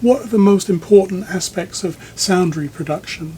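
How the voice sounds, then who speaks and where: A man conducting a technical interview in a conversational way, speaking in measured, thoughtful, sometimes halting phrases. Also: high voice, warm tone, husky. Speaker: magazine editor interviewing a speaker designer. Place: listening room in a home.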